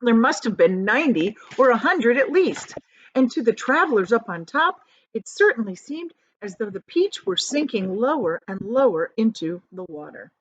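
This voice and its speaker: A woman teacher reading a children's book aloud and pausing to explain it. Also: a little high, sing-song. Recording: dull, lo-fi audio.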